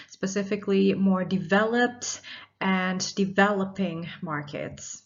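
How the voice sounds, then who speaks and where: A woman in her thirties, originally from Indonesia, giving a recorded university lecture in a measured, explanatory way. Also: medium pitch. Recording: slightly dull lo-fi audio.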